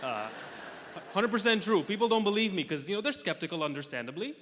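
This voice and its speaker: Young man, stand-up comic, from Russia, dry self-mocking delivery, bright forceful tone, long hall reverb. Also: high-pitched, sing-song. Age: young adult. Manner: dry, self-mocking punchlines with quick asides. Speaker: stand-up comedian. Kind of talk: stand-up comedy set. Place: large comedy festival hall with audience.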